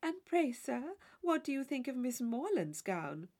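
Storybook role: fussy society wife, played by a female narrator, rambling and easily impressed, high lilting delivery. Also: big pitch swings, a little slow, darkish tone. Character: fussy, chatty married lady, dress-obsessed. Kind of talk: audiobook character dialogue.

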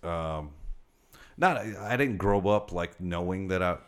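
A man's voice: low-pitched, unhurried, clear, resonant tone. A man from Detroit, a comedian guest, chatting casually on a podcast and telling stories with laughs. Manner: animated storyteller, laughs while telling jokes.

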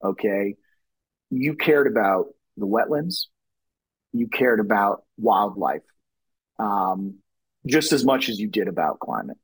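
A man's voice: fairly low pitch, lively intonation, slow pace, pauses often, slightly rough, no echo, poor audio. A middle-aged man from Louisiana, a brand strategist talking in a podcast interview, rambling with many restarts and fillers.

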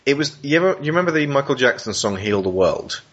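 A man's voice: medium pitch, very animated, fast talker, a bit bright, dull audio. A man conducting a casual interview in a hesitant, thoughtful, wordy way.

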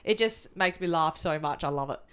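A woman's voice: low voice, fairly quick, fairly bright tone, clear, resonant tone. A woman co-hosting a podcast, chatting informally and enthusiastically about what she has watched, with casual slang. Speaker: podcast co-host. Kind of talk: casual film and TV review chat.

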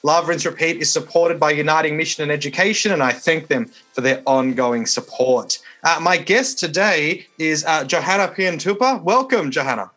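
A man's voice: a little high, moderate pace, hardly any pauses, pushed and bright. A man hosting a podcast interview in a conversational, rambling and hesitant way. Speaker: podcast host, church minister.

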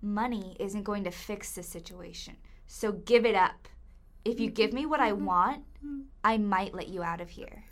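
A young woman playing a blithe, creepy high school kidnapper in a student audio drama, casual and taunting. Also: a little slow, close to an everyday voice. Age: young adult.

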